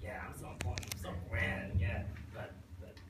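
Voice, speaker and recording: A man voicing a boastful, vengeful, scheming royal servant in an excitable amateur puppet show. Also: normal speed, whispered, lightly acted. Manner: excitable, gloating, repeats himself. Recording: distant, echoey, noisy live room recording.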